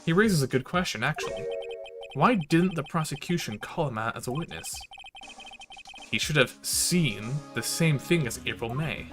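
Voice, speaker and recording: A man's voice: a little high, expressive, normal speed, music in the background. A man doing casual live game commentary, reading dialogue aloud in a sweary, irreverent way. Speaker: game streamer.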